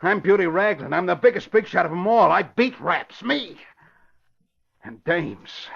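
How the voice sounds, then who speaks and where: An actor playing a vain, menacing gangster big shot with tough, slangy, threatening wiseguy talk in an old radio crime drama. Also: male voice, high voice, animated, unhurried, creaky, a bit bright, slightly rough, full character voice. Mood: boastful rage. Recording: dull, muffled old radio recording.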